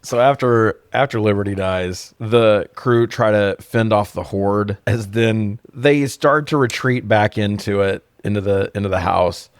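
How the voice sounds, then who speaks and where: A man's voice: lowish voice, unhurried, few pauses, smooth, no room sound, clean studio sound. A man co-hosting a casual film review, recapping scenes with sarcastic, sweary banter. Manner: sarcastic, profane. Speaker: podcast co-host reviewing films.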